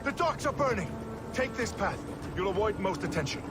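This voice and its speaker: Earnest medieval guide guy, game cutscene, voice a bit high, loud music under it. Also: big pitch swings, normal speed. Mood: urgent. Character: determined male medieval ally, a guide and tactician in war. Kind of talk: video game cutscene acting.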